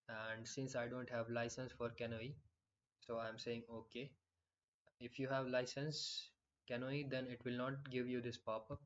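A man explaining software in a screen-recorded tutorial, with frequent fillers and restarts. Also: mid-range voice, highs cut off, slight background noise.

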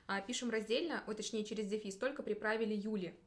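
A woman's voice: mid-range voice, fast. A woman in her twenties teaching in a casual, chatty and encouraging way, as a language tutor explaining rules in conversation. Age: 20s. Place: small indoor studio.

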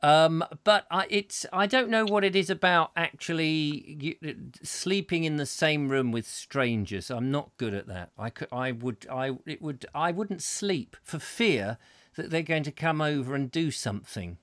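Middle-aged English host, comic and mock-stuffy; dry desk mic in a small studio. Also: male voice, fairly high pitch, animated, unhurried, fairly bright tone, no echo. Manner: mock-stuffy, drops to mutter for jokes. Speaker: chat show host. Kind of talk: comic chat show banter. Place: small home studio with neon signs. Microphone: headphones, desk mic.

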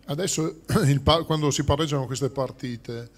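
A man's voice: a little high, normal speed. A man, a sports journalist, putting long conversational questions at a press conference. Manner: conversational, explaining his point at length.

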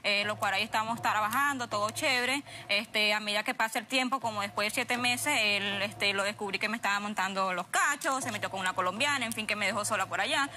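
A woman's voice: medium pitch, a little fast, few pauses, pushed and bright. A young woman with a Venezuelan accent, arguing her case on a TV show in a combative, insistent way and repeating herself. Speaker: guest litigant. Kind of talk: heated argument and personal account. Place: TV studio.

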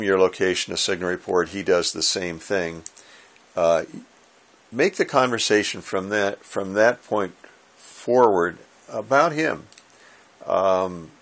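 An American man in his sixties with a gravelly voice, giving informal hobby advice to camera in a halting, reflective, conversational way. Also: low voice, a bit bright. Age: 60s. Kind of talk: informal instructional talk to camera. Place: small indoor home radio room.

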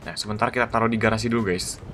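A man giving excited, slangy gameplay commentary as a gaming YouTuber. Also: lowish voice, rapid-fire, slight background noise. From Indonesia. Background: background music.

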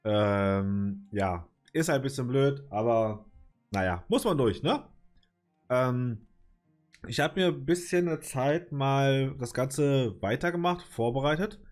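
A man with a cold commenting casually on his city-building game, hesitant and rambling with many fillers, clearing his throat now and then. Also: medium pitch, lively intonation, slow pace, a little mellow, faint music behind. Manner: rambling, hesitant, lots of filler, mild swearing. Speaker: let's play gamer.

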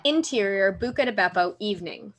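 A woman narrates a screenplay's stage directions. Her pitch is average and her delivery is scripted, moving through short scene descriptions over a video call. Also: animated, a little fast. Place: room at home, indoors. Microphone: computer mic on video call.

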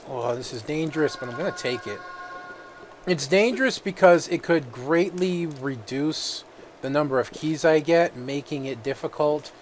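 A man who is feeling a little sick, giving relaxed let's-play commentary and thinking aloud with plenty of fillers. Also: medium pitch, slight background noise. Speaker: gaming video host.

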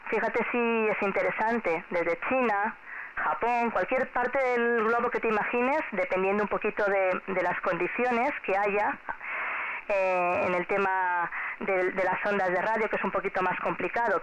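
A woman's voice: mid-range voice, fairly bright tone. A middle-aged woman with a Spanish accent from Spain, chatting over amateur radio in a friendly, encouraging way and often repeating herself. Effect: two-way shortwave radio transmission. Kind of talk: casual radio contact chat addressed to a child. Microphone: heard through a radio transceiver speaker. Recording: noisy, muffled amateur radio signal.

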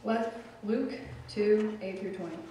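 A man's voice: high voice, recorded from a distance, slight background noise. Man reading scripture in an echoey church. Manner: steady measured reading. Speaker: scripture reader. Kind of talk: Bible reading in church service. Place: church sanctuary. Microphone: lectern microphone, far camera.

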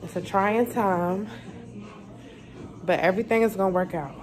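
A young woman with a Southern American accent, a mom chatting casually into a phone held at arm's length, in a relaxed, slangy way. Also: mid-range voice, normal speed, soft background music. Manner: chatty, laid-back, slangy. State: stuffy nose. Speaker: vlogger, mom. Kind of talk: casual selfie vlog chat. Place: car at a gas station, then a home kitchen.

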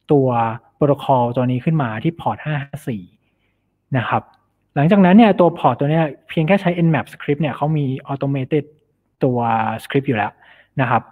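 A man giving an informal technical presentation as a cybersecurity specialist, explaining things and mixing in English technical terms. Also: medium pitch. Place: online meetup over slides. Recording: thin phone-like online meeting audio.

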